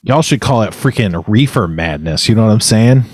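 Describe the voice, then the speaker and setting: A man's voice: lowish voice, animated, moderate pace, darkish tone, dry recording. A man hosting a casual podcast chat, teasing his guests and laughing often. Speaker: podcast host.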